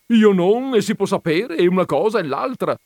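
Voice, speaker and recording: A man voicing a cranky, stubborn gentleman who argues loudly and loses his patience easily. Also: on the high side, big pitch swings, moderate pace, no echo, studio quality, voice pushed up. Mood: indignant. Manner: loud, indignant, argumentative.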